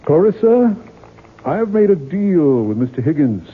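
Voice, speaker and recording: A man's voice: on the high side, sing-song, normal speed, breathy edge. A male actor playing a weary, bitter novelist, curt and insistent, in 1970s radio drama style. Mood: dry, dismissive. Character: weary, bitter male novelist haunted by his own creation. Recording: muffled, noisy 1970s radio broadcast recording.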